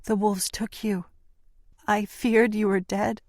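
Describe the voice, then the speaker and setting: A man's voice: high-pitched, level tone, slow, a little mellow, no echo, studio quality, pitched above the performer's own voice. A man voicing a frightened, confused young woman in an audiobook, speaking anxiously in a raised voice. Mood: fearful. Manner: anxious, questioning.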